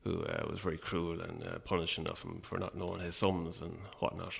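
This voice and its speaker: A man's voice: low voice, level tone, fairly quick, creaky, slight background noise. An Irish man chatting casually as an interview guest, a little hesitant and self-effacing. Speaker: guest, playwright.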